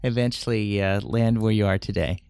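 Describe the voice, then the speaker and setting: A man hosting a conversational interview, asking questions in a halting, hesitant way. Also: lowish voice, steady tone, creaky, a little mellow, clean tone, dry recording, studio quality. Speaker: interview host.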